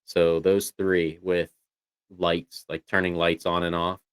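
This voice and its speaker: A man's voice: sits low, flat delivery, slow, clear, resonant tone, no room sound. A man chatting casually as a livestream co-host, talking in a rambling way with lots of "you know"s. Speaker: co-host of a voice tech show.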